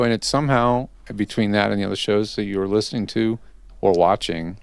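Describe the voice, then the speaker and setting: A man's voice: lowish voice, smooth, no room sound. A man hosting a podcast, interviewing in a casual, conversational style.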